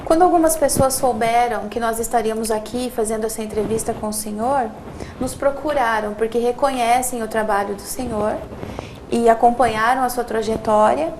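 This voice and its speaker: A woman's voice: on the high side, moderate pace, a little mellow. A Brazilian woman interviewing in a polite, formal way. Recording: noisy ambient sound.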